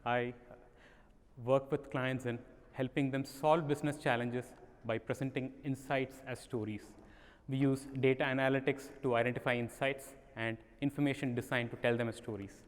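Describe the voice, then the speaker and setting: A man's voice: mid-range voice, fairly even intonation. Indian man presenting in a reverberant hall. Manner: measured, explanatory, storytelling delivery. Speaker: conference speaker. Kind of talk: prepared keynote presentation. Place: conference hall. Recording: long reverb of a conference hall.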